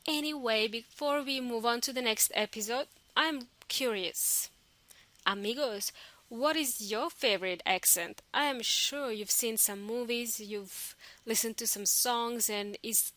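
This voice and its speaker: Woman, Spanish accent, English teacher, podcast lesson, chatty and encouraging, somewhat high voice, dry close sound. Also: bright, forceful tone, dry recording. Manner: chatty and encouraging, loose unscripted delivery with fillers and restarts.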